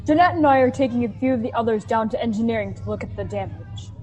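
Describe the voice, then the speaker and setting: An earnest, no-nonsense older teen played by a young woman in a sci-fi radio drama. The voice is high and the recording slightly dull. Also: fast, darkish tone, soft background music, lightly acted. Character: sensible older teenager keeping the group calm. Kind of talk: sci-fi radio play acting.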